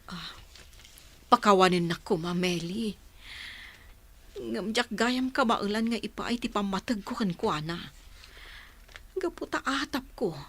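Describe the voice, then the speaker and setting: A woman's voice: medium pitch, expressive, a little slow, pauses often, a bit bright, slight background noise. An actress playing an evasive, guilt-ridden woman in a radio drama, speaking in a hesitant, emotional way. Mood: guilty, pleading.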